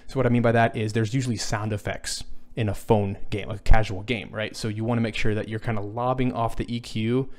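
A man in his thirties with a low voice, a music composer answering viewer questions in a casual livestream from his small home studio, thinking aloud with frequent fillers and restarts. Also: level tone, brisk, hardly any pauses. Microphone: boom studio microphone, headphones on.

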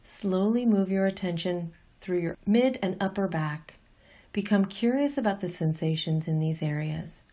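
A woman with a mellow, fairly low voice leads a guided meditation. She reads her scripted instructions gently and calmly. Her delivery is unhurried, like a teacher walking listeners through each step.

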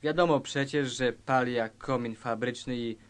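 A man's voice: medium pitch, fairly even intonation, normal speed, smooth, close to an everyday voice. A man playing a scheming fireman in his early thirties in a comic crime radio play, conspiratorial and coolly persuasive.

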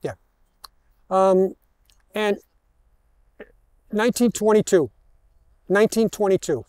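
An older man with an American accent giving an informal history talk as a walking tour guide, halting and digressive as he tells his stories. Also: high-pitched, a little mellow, clean tone, no echo. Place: outdoors in an open parking lot. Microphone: headset mic.

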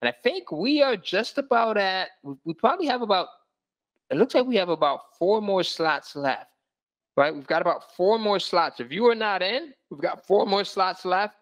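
Man, slightly high voice, live webinar pitch, pushy and upbeat, keeps saying "all right?". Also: animated, normal speed, fairly bright tone. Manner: pushy, upbeat, reads out viewer comments; repeats "all right?" often. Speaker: online course seller hosting a live sales webinar. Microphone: voice-over on screen-share slides.

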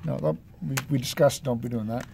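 Mellow, matter-of-fact man in casual chat. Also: mid-range voice, a little fast, vocal fry. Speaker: podcast co-host showing collection pickups. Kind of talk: casual chat, listing items shown. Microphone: handheld phone camera mic. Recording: noticeable background noise, amateur phone video.

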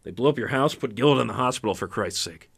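A man playing a hard-nosed, indignant lawman in a radio drama, insistent, with a husky voice. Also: mid-range voice, expressive, fast, a bit bright, exaggerated voice. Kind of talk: fan radio drama acting.

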